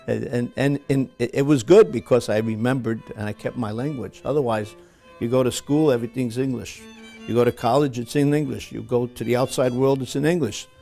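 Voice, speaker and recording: Gravelly, dark-voiced man recalling family. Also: mid-range voice, fairly even intonation, fairly quick, soft background music. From Greece. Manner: earnest, personal recollection. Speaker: interviewee. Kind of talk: documentary interview.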